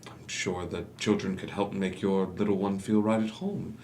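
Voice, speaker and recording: Man playing low-voiced, courtly gentleman doctor. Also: fairly even intonation. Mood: smooth, persuasive. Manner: courtly, formal, deliberate. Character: charming, smooth-talking gentleman doctor, courtly and calculating proprietor. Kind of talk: musical theatre table read. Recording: noisy home recording.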